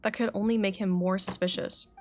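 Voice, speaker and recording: A woman's voice: medium pitch, steady tone, a little fast. A woman livestreaming a game, chatting casually and reading story text aloud, stumbling and correcting herself. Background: game music.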